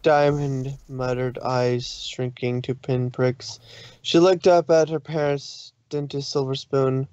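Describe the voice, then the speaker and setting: A man's voice: mid-range voice, flat delivery, slow, darkish tone, smooth, no room sound, lo-fi sound. A man reading scripted story narration in a dramatic style.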